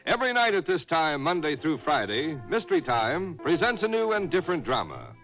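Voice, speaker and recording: Animated vintage male radio announcer, lo-fi. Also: on the high side, a little fast. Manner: declamatory, formal. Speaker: radio show host and announcer. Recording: dull old 1950s radio recording, faint music.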